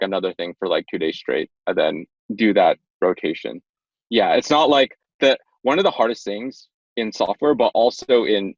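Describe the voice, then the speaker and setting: A man in his twenties, a podcast guest, explaining things in a relaxed, rambling way with many fillers. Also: on the low side, dry recording, highs cut off. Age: 20s. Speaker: guest, startup tech executive. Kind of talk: casual interview chat.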